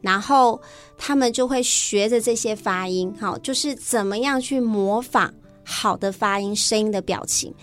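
A woman's voice: medium pitch, measured pace, soft background music. A woman teacher with a Taiwanese Mandarin accent, explaining things conversationally as an interview guest.

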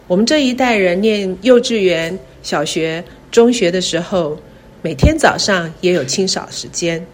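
A middle-aged woman with a Taiwanese Mandarin accent gives a scripted, reflective talk in measured, careful phrases. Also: fairly low pitch, slight background noise. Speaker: university president. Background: background music.

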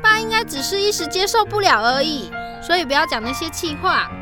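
Actress as caring big sister, young adult, very high lively voice, gently nagging, radio-play acting, music behind. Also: female voice, a little fast. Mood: reassuring, coaxing. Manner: gentle coaxing, nagging reassurance. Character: protective, caring elder sister and daughter. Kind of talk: audio drama acting.

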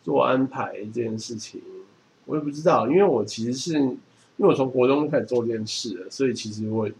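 With noticeable noise around him, a man with a Taiwanese Mandarin accent talks in a medium-pitched voice. He explains things casually and matter-of-factly, like a co-host on a chatty podcast. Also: fairly quick, darkish tone. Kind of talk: casual chat. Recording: clearly noisy background.

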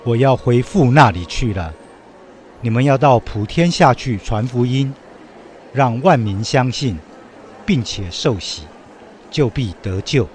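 A man playing a solemn, gentle Jesus. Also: medium pitch, lively intonation, measured pace, slight background noise, natural voice. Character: gentle, solemn Jesus teaching his disciples. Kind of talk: religious radio drama acting.

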